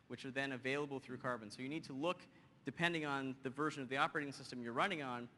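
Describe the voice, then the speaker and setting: A man giving an energetic technical presentation with a live demo to a conference audience, often tossing in "you know" and "right?" and teasing the crowd. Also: medium pitch. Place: large conference hall. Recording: long hall reverb, faint background hum.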